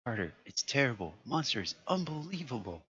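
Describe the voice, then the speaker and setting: A man voicing a terrified man trapped in a vault, pleading and shouting in panic. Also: medium pitch, normal speed, pitched above the performer's own voice, lightly acted. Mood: horrified. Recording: lo-fi with faint noise.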